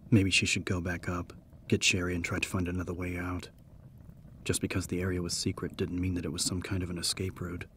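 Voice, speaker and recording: A man narrating a scripted horror story as an audiobook, measured and serious. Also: sits low, level tone, a bit bright, a little hiss or hum.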